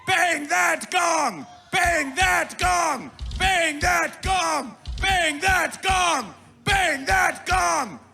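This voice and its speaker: A man working as a festival master of ceremonies, making bombastic, mock-ceremonial announcements in a gravelly voice and chanting repeated phrases. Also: extremely high pitch, very slow, hardly any pauses, pushed and bright, raised voice, some chatter behind. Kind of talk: theatrical chanted stage announcements. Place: live music festival stage. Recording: music behind the voice. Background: music.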